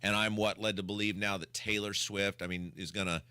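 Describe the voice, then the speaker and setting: A man's voice: lowish voice, steady tone, fairly quick, bright, forceful tone, clean tone, no room sound. A man hosting a radio talk show, giving opinionated banter in an emphatic, exasperated, repetitive ranting style.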